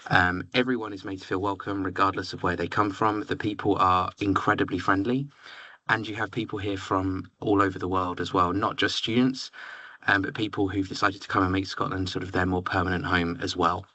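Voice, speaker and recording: A man's voice: low-pitched, fairly quick, dry recording, lo-fi sound. A young man from near London with an English accent, presenting to education agents and filling gaps with "um" and "sort of". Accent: London area English. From England. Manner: relaxed, filler-heavy, says "sort of" often. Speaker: university representative.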